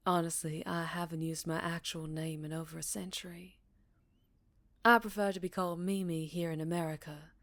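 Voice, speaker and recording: A woman playing a centuries-old vampire leader, speaking softly and breathily in an intimate ASMR roleplay. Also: lowish voice, level tone, dry recording. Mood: tender, confiding. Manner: soft, breathy, measured, with whispered phrases. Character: centuries-old female vampire town leader, secretive, wistful, tender.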